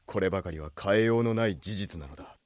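Casting: male actor as grave exiled prince, low, close dry sound, measured and lordly, anime radio drama. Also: a little mellow, no echo, natural voice. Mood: grave, resolute. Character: grave exiled prince leading a rebel army. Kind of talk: anime audio drama acting.

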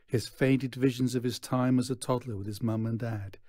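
A man's voice: medium pitch, steady tone, normal speed, warm tone, dry recording. A man reading a children's novel aloud as scripted narration. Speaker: story reader.

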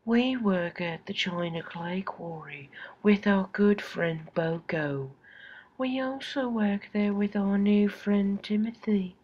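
Man doing high, friendly little quarry engines. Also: slow. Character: pair of small friendly twin quarry engines, male. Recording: dull lo-fi home recording.

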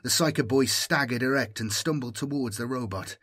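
Husky-voiced man narrating a story. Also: medium pitch, brisk, fairly bright tone. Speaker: audiobook narrator. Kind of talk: dramatic scripted story narration.

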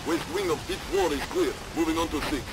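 Higher-pitched clipped mercenary played by a man. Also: fairly quick, heavy background noise, lightly acted. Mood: efficient. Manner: clipped, military. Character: cold, efficient male mercenary reporting in.

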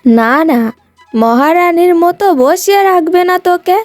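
A woman's voice: extremely high pitch, lively intonation, a little slow, a little mellow, faint music behind, pitched above the performer's own voice. A woman voicing a stern, emphatic mother of a grown daughter in a cartoon tale. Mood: exasperated, scolding. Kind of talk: cartoon moral-tale voice acting.

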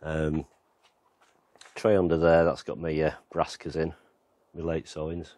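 A man with a very deep, low voice talks his way around his allotment and garden. His delivery is relaxed and rambling, with plenty of ums and you-knows. He is outdoors, filming on a handheld camera. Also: measured pace, no echo. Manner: relaxed, rambling, lots of fillers. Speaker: gardening vlogger. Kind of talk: casual walk-around garden tour.

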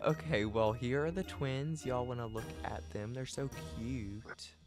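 A man's voice: mid-range voice, unhurried, close to an everyday voice. A man playing an upbeat, bossy parent who chats and gives instructions in a casual online game roleplay. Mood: coaxing. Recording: music behind the voice.

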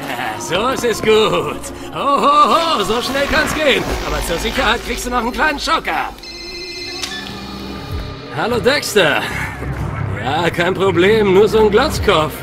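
Smug game sheriff, slow drawl, swinging pitch, sleazy, music underneath. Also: male voice, very animated, full character voice. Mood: smug, gloating. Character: sleazy small-town sheriff, smug, obsequious to his boss. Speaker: game voice actor. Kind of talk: German game dub acting.

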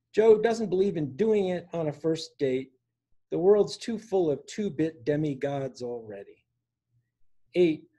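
A man in his sixties reading his own poems for an online open mic, with a measured, deliberate delivery. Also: a little high, dark tone. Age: 60s. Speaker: poet reading own work. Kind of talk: poetry reading at a virtual open mic, with informal introductions. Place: small room indoors at home.

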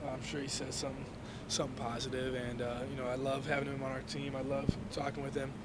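A young man, a college quarterback, answering reporters' questions after a game, measured and full of "you know"s, into handheld mics indoors. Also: medium pitch, monotone, very noisy. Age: young adult. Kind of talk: post-game press interview. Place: indoor hallway before a sponsor backdrop. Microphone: handheld reporter mics and phones held toward him.

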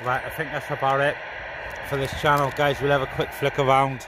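A man with a medium-pitched voice talks casually to his viewers in an outdoor radio hobby vlog. He is off screen, speaking towards a camera mic in a garden. The recording is heavily noisy, with outdoor ambience and radio hiss behind him. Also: little pitch movement, brisk. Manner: informal, addresses viewers as guys. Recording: loud outdoor noise, radio static. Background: outdoor ambience and CB radio noise.

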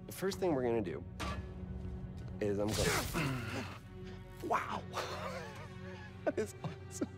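An actor playing a smug, scheming middle-aged executive villain who talks in a casual, mocking, self-satisfied way. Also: male voice, medium pitch, sing-song, takes it slowly, long pauses. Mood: gleeful. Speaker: corporate villain. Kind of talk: video game cutscene acting. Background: game score music.

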